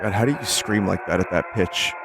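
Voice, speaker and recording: A man's voice: low-pitched, brisk, noisy background. A man hosting a livestream, chatting casually and wryly as he reacts to recordings. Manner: wry, joking. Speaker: streamer hosting a listening session. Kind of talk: casual live commentary, chatting with viewers. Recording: music playing behind the voice. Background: radio recordings and music.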